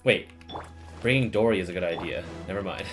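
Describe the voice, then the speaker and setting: A man giving casual, profane and excitable commentary while he plays a video game. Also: low-pitched, brisk, music in the background. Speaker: game streamer.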